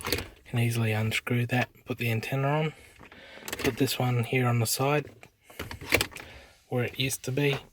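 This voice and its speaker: An Australian man giving a casual hands-on installation demo in halting, unscripted short phrases. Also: mid-range voice, fairly even intonation. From South Australia. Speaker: amateur YouTube presenter. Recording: noisy amateur handheld recording, clicks.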